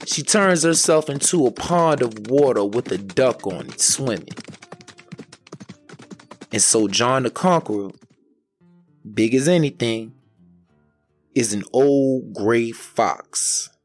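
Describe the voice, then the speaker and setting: A man narrating an old folk tale as a storyteller, in a measured way with dramatic pauses. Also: medium pitch, soft background music. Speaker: storyteller, podcast host.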